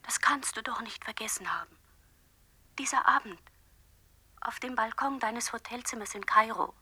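A woman's voice: on the high side, normal speed, sharp tone, breathy edge, exaggerated voice. A woman playing a jilted, wounded lover pleading reproachfully in a radio drama monologue, often dropping into whispers. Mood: wistful, pleading. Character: jilted, hurt woman pleading with her former lover on cassette.

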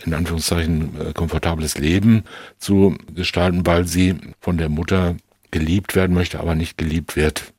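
Deep, husky-voiced man, analytical. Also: a little mellow, dry recording. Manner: deliberate, hedging, long-winded explanations. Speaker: legal expert guest. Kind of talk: unscripted analytical discussion.

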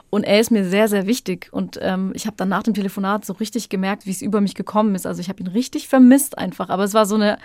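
A woman's voice: mid-range voice, darkish tone, dry recording. A woman in a band recounting a personal memory in an earnest interview answer. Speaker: band member.

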